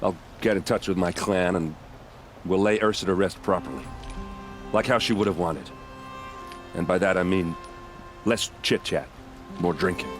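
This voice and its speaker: Low male voice, actor playing rough-and-ready soldier captain, self-deprecating, blunt, casual, game drama, clear background music. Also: moderate pace, close to an everyday voice. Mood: grim, dry. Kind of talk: dramatic video game cutscene acting.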